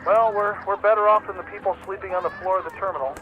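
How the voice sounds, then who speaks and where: A man's voice: high-pitched, fairly even intonation, fast talker. An actor playing a stranded adult son phoning his mother in a radio drama, heard over a phone line. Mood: apologetic. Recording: dull, noisy phone call with ambience.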